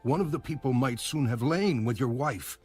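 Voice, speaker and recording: A man's voice: medium pitch, normal speed, a little mellow, soft background music, close to an everyday voice. An actor playing an indignant, commanding ancient king in a dramatized audio Bible, stern and declamatory. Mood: indignant reproach.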